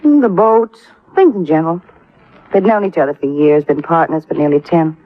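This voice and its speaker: An American actress playing a cool, unsentimental widow in a 1950s radio crime drama, composed, curt and evasive. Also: female voice, low voice, normal speed, no room sound. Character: cool, unsentimental young-sounding widow, defiant under questioning. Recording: muffled vintage radio recording.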